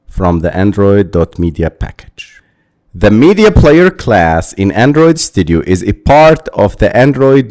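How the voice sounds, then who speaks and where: A male programming instructor narrates a tutorial in a somewhat low voice. He goes very slowly and deliberately, explaining each step in an instructive way. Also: darkish tone.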